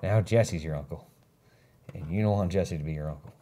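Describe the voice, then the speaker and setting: Lower-voiced man, dry joker, hands-on PC builder, light noise under the mic. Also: brisk, dark tone. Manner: teasing, dry humor. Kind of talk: casual live-stream banter.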